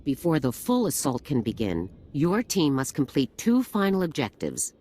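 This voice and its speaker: A composed, commanding general in a sci-fi video game. His briefing is formal and crisp, with lively movement in pitch. There is faint ambient noise behind him. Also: moderate pace. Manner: formal, crisp military delivery. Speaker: military commander giving briefing. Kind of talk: scripted video game dialogue.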